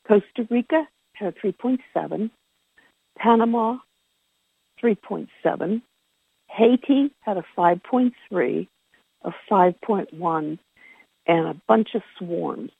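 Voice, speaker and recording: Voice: mid-range voice, slow, creaky, pauses often, breathy edge. A person giving an informal spoken report from notes on a conference call, in a conversational way with self-corrections, heard over a telephone line. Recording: tinny, phone-like conference call line with echo.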